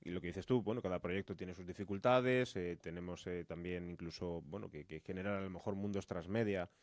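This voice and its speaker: A man's voice: deep voice, a little fast, dry recording. A man co-hosting a podcast, chatting casually in a wordy, roundabout way. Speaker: podcast co-host. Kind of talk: casual interview conversation.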